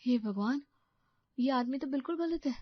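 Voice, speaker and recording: A woman voicing a meek, emotional young wife in an audio story, soft-hearted and easily dismayed. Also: high voice, normal speed, no echo, muffled recording. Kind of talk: Hindi audio story character acting.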